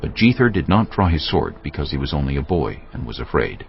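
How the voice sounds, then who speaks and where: Male narrator for dramatized scripture: extremely low, creaky, expressive, over music. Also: brisk. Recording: dull audio with music underneath.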